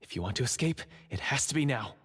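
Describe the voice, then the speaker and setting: An undercover dungeon guard in a video game. He has a very breathy, husky voice and speaks formally, with hushed urgency. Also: mid-range voice, expressive, fast. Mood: urgent, firm.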